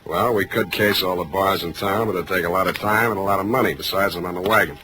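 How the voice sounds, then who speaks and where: An actor playing a wisecracking, hardboiled private detective, dry and sardonic, in 1940s radio style. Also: male voice, deep voice, fast talker, noisy background, close to an everyday voice. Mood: dry, wry. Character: wisecracking, hardboiled male private detective narrating his case.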